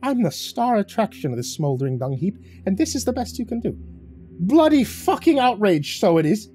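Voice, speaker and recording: A man voicing a tiny, foul-tempered male leprechaun who shouts and curses in a shrill, high voice. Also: big pitch swings, normal speed, faint music behind. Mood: furious.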